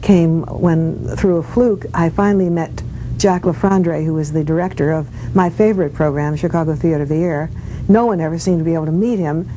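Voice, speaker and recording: Older woman reminiscing, mellow low voice. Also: fairly even intonation, brisk, highs cut off. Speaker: former radio actress being interviewed. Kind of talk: casual reminiscing interview. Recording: noisy with low rumble.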